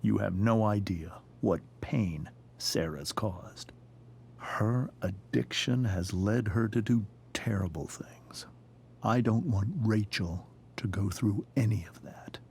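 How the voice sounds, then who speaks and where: A man playing a stern, protective middle-aged father in a video game drama, speaking gravely and deliberately. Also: lowish voice, darkish tone, a little hiss or hum. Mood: worried, grave. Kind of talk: dramatic game cutscene acting.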